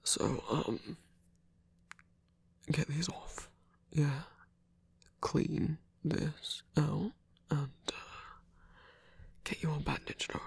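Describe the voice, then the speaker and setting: Gruff, caring British werewolf acted by a man, very raspy with gravelly fry, casual and coaxing, ASMR romance. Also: a little high, dry recording, exaggerated voice. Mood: practical, reassuring. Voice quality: husky, raspy, half-whispered. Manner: casual, coaxing, hesitant pauses. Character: gruff but caring male werewolf, teasing, reassuring lover. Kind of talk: ASMR romance roleplay acting.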